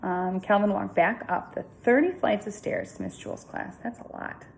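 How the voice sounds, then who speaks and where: A woman reading a children's story aloud in an informal, chatty, expressive way with casual asides. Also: mid-range voice, moderate pace, gravelly, a little hiss or hum.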